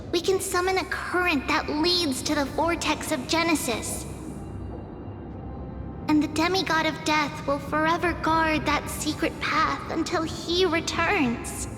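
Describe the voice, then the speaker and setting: A woman playing an earnest, resolute little demigod maiden in a video game, speaking with careful determination. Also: high-pitched. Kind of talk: dramatic video game voice acting. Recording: echoey long reverb under music.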